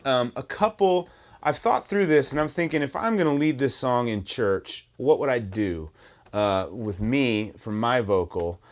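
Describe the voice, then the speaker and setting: A man with a baritone voice teaching a worship song on guitar, talking in a relaxed, self-deprecating way full of ums and uhs. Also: a little slow. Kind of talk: casual guitar tutorial talk. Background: acoustic guitar playing.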